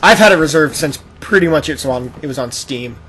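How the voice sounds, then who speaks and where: A man's voice: a little high, animated, fairly quick, a bit bright. A man hosting a gaming podcast, talking casually and explaining at rambling length while a video game is played, sometimes acting out imagined quotes. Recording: noisy amateur podcast recording with game audio. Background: video game sound.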